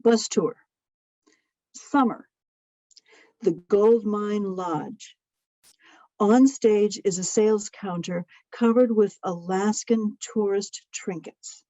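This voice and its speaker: A woman's voice: mid-range voice, dark tone, dry recording, muffled recording. A woman narrator reading stage directions as scripted narration.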